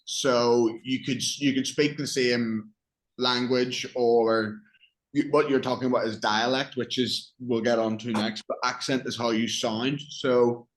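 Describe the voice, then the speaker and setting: A man's voice: mid-range voice, steady tone, a little slow, low-quality recording. A man with a Northern Irish accent teaching English one-on-one, explaining patiently with examples and questions. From Northern Ireland.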